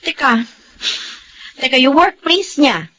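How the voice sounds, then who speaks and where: Woman playing a very high-pitched, reproachful confidante. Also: sing-song, slow, sharp tone, heavily acted. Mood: worried, reproachful. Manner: reproachful, exclamatory. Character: frank, alarmed female confidante of a troubled woman. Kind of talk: Filipino audio melodrama acting. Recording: faint background noise.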